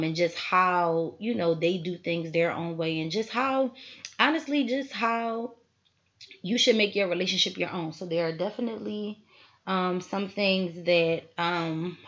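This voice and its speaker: A woman's voice: fairly low pitch, fairly bright tone, lo-fi sound. A woman, hosting a casual solo podcast, chatty and full of asides to her listeners. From Philadelphia, USA. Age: 20s. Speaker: podcast host.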